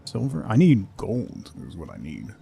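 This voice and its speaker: A man's voice: lowish voice, wildly swooping pitch, moderate pace, vocal fry, mellow, slight background noise. A man giving casual solo commentary as a game streamer, musing and thinking aloud to himself.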